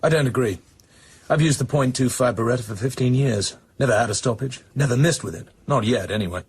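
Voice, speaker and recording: A proud, defensive British secret agent in a radio drama. He talks fast in clipped, firm phrases, with a slightly rough voice. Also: mid-range voice. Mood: defensive, dry.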